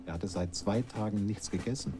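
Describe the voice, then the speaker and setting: An actor playing a sombre teller of a fugitive's ordeal in a radio drama, with terse, deliberate phrasing. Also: male voice, on the low side, music in the background, close to an everyday voice. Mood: grim, weary. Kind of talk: dramatic narration in a radio play.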